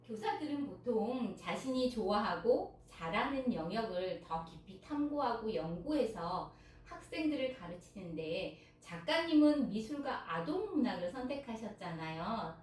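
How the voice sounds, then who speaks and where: A woman's voice: big pitch swings, normal speed, few pauses, darkish tone. A woman interviewer who asks polite questions. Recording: very echoey and distant, faint rumble.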